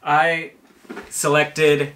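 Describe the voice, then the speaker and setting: A man's voice: a little high, completely flat delivery, drawn-out words, fairly bright tone, a little hiss or hum. A man, presenting a conversational how-to video in a home kitchen. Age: 30s. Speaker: puppetry presenter.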